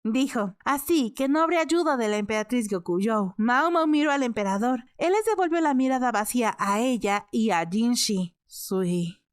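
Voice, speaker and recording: A woman's voice: on the high side, expressive, moderate pace, few pauses, clean tone. A woman reading scripted story narration as an audiobook narrator.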